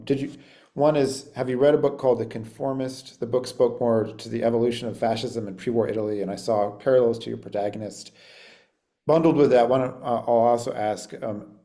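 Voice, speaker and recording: Mellow-voiced male host reading out questions. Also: mid-range voice. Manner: polite, halting with ums and uhs. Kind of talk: moderating audience questions.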